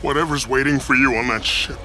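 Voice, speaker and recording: A bright-voiced disillusioned deserter. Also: male voice, medium pitch, steady tone, brisk, slight background noise. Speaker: game character. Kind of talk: video game cutscene acting.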